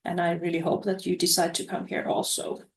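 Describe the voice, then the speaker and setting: A woman presenting study opportunities over a webinar, halting, with many fillers and restarts. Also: on the low side, little pitch movement, creaky, echoey room, a little hiss or hum.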